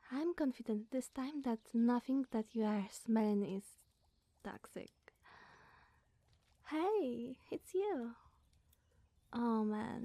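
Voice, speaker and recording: A woman playing a flustered, eccentric young witch groundskeeper in an ASMR roleplay, rambling and awkwardly apologetic in a soft, breathy voice. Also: on the high side, dry recording, full character voice. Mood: teasing, playful.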